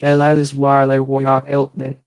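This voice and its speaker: A man chatting casually on a live stream, halting and rambling, swearing often. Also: medium pitch, monotone, clean tone, no room sound, studio quality.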